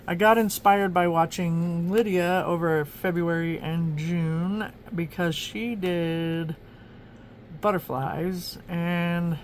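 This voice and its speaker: A woman's voice: lowish voice, slight background noise. A woman from Oklahoma chatting casually as she flips through her journal, rambling with lots of ums and restarts. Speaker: journaling vlogger.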